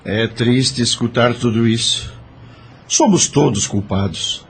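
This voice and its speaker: A man playing a grave, puzzled judge in an audio drama, speaking in a deliberate, reflective way. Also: mid-range voice, a little hiss or hum. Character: grave, puzzled judge among murdered spirits.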